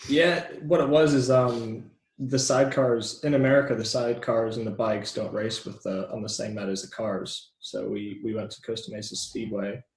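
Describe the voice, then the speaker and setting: A man in his late twenties from Southern California, a guest speaking casually in a relaxed way with many ums and yeahs. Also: fairly low pitch, moderate pace, hardly any pauses. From Southern California, born in New Zealand. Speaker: guest, speedway rider. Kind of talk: casual interview chat. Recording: roomy echo.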